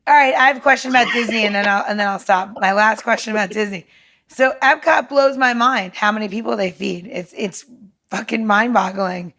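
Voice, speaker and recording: A woman's voice: medium pitch, fairly quick, sharp tone. A woman in casual conversation, asking questions and reacting in an emphatic, incredulous way with free swearing.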